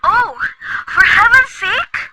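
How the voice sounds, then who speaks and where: A woman acting a nervous, bedridden, wealthy woman who talks in a shrill, agitated, imperious way in an amateur radio play. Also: lively intonation, slow, exaggerated voice. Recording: loud noisy recording.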